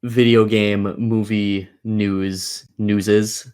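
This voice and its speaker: Sweary, low-voiced man ranting on a podcast. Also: little pitch movement, drawn-out words, a little mellow, clean tone. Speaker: podcast host. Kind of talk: casual gaming podcast chat.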